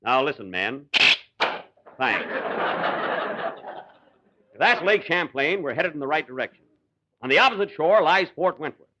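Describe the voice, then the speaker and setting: A man's voice: a little high, big pitch swings, a little slow, long pauses, pushed and bright, dull audio, a little hiss or hum, exaggerated voice. A man playing a fussy, exasperated Ranger major in a 1940s radio comedy, mock-commanding and put-upon. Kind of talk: 1940s radio comedy acting before live audience. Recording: 1940 live radio broadcast.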